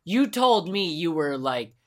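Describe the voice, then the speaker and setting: A man's voice: fairly high pitch, very animated, measured pace, fairly bright tone. A young man, American of Mexican descent, co-hosting a casual chat with blunt, joking remarks and plenty of swearing. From United States, of Mexican descent. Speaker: podcast co-host.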